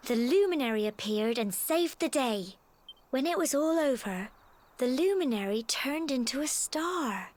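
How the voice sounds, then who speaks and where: A teenage British village girl in a fantasy game, a sentimental childhood friend. Her voice is high and youthful, and she speaks earnestly and artlessly, with a wistful touch. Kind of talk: video game voice acting.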